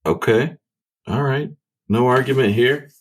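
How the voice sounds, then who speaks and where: A man playing a tabletop game, telling his character's actions with slow amusement. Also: mid-range voice. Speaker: player.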